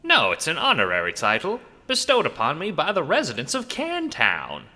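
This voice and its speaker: A man voicing a short, pompous, excitable self-styled mayor who speaks in a grandiose, demanding, stentorian manner for an audio drama. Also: a little high, moderate pace, in a large hall, pitched above the performer's own voice, full character voice. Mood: flustered, proud. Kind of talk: audio drama character acting.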